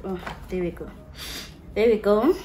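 A woman's voice: mid-range voice, unhurried, heavy background noise. A young woman chatting to camera, gushing about her perfumes and slipping into local phrases. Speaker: vlogger. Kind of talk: casual chat to camera, showing perfumes.

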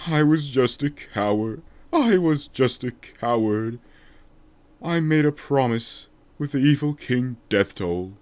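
A man's voice: mid-range voice, lively intonation, slow pace, darkish tone, no echo. A man voicing a solemn, remorseful king in a declamatory, dramatic way for a video game fan dub.

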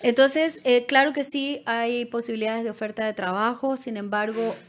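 Woman consultant answering viewer questions, voice a bit high, explains stuff right to the person, close studio mic. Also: steady tone, normal speed. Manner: explanatory, addresses viewers directly by name. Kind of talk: conversational advice on a live show. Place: small indoor studio. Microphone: studio microphone on desk stand, close.

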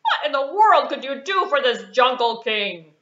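A woman voicing a big, proud male lion who talks loudly and boastfully in a puppet show. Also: high voice, sing-song, normal speed, voice pushed up. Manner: loud, boastful, roaring. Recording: echoey room, dull lo-fi sound.

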